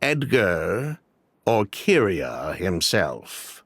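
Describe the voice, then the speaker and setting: A man's voice: deep voice, huge pitch swings, very slow, fairly bright tone, slightly rough, no echo, heavily acted. A man voicing a defensive, scheming middle-aged ex-convict fraudster in a video game, with an emphatic, self-justifying delivery. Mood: earnest persuasion. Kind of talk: animated video game voice acting.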